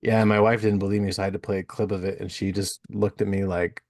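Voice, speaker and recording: A man's voice: on the low side, little pitch movement, fast talker, a little mellow, dry recording, studio quality. A man hosting a podcast in a casual, chatty style. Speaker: podcast host. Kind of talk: casual chat.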